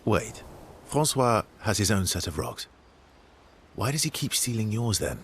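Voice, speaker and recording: An actor playing a gentle, wry, reflective man in a video game cutscene, speaking softly and haltingly. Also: lowish voice, sing-song, a little hiss or hum. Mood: wry, curious. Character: gentle, wry, grieving man, musician from artist family. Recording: studio voice under clear background music.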